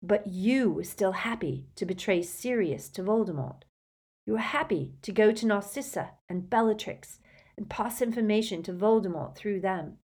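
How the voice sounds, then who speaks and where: A woman's voice: medium pitch, normal speed, a little mellow, husky, voice pushed up, close to an everyday voice. A woman voicing a hot-tempered, determined teenage boy in an audiobook, blunt and insistent. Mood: baffled, accusing.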